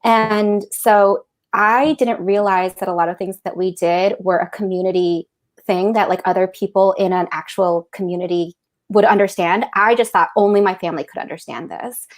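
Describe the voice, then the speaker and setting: A woman who grew up in Central Florida talks on a video call as an author panelist. She talks in an animated, upbeat way and laughs often. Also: mid-range voice, moderate pace. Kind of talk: casual panel discussion.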